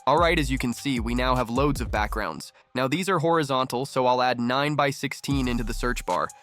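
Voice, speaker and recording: A man narrating a screen-recording tutorial, giving steady step-by-step instructions as the channel's host. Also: mid-range voice, fairly quick, faint music behind.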